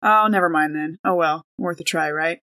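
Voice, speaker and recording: A woman's voice: low voice, moderate pace, sharp tone. A woman talking casually as a player in a tabletop role-playing game.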